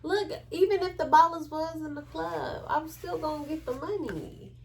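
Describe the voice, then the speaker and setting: A woman's voice: sits high, moderate pace, creaky, slight background noise. A woman chatting and telling stories casually, blunt and teasing, with slang and swearing. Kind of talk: casual chat, storytelling.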